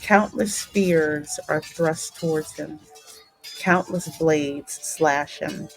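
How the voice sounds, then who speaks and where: A woman hosting a game playthrough, reading the story in a deliberate, dramatic way with casual asides. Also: low voice, creaky, faint music behind, low-quality recording. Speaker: gaming channel host and narrator.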